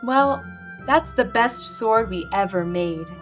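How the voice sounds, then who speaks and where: A young woman playing a proud, encouraging blacksmith in a children's readers' theater piece, with animated read-aloud delivery. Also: fairly high pitch, slow. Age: young adult. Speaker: teacher acting with children. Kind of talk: readers' theater, reading from script. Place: indoor stage set with stone-wall backdrop. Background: music.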